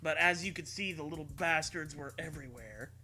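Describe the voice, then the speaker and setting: A man's voice: on the high side, brisk, bright, forceful tone, faint music behind. A man reading a story aloud as a host, in a comedic dramatic style with casual, irreverent, sweary asides. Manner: irreverent, sweary, often breaks into laughter.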